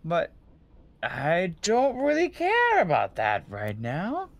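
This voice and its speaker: A man's voice: high voice, wildly swooping pitch, slow, vocal fry, full character voice. A man playing an anxious, earnest young male detective in an amateur video game fandub. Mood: determined.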